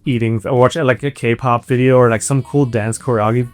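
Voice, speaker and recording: A man's voice: mid-range voice, steady tone, a little fast, soft background music. A man, an indie band musician, chatting casually and jokingly in an interview. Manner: joking, banter with bandmate.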